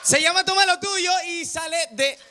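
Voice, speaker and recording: Young Venezuelan man, very high excitable voice. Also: moderate pace, shouting, background noise. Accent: Venezuelan Spanish. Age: young adult. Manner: excitable, repeating phrases. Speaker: guest TV presenter. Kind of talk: playful live TV banter. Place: TV studio stage. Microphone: handheld microphone. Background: music.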